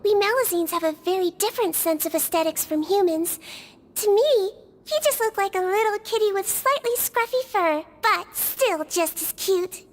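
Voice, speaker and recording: A woman voicing a small, kind, unfazed nonhuman head nurse in a video game, gentle, patient and playfully matter-of-fact. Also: extremely high pitch, normal speed. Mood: calm, reassuring. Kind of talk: English video game voice acting.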